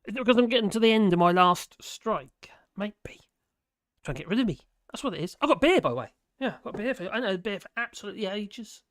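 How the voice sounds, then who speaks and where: A hoarse live streamer, rambling in casual chat to viewers and making fun of themselves. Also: mid-range voice, animated, fast talker, a bit bright, dry recording, studio quality. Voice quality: rambling, self-deprecating.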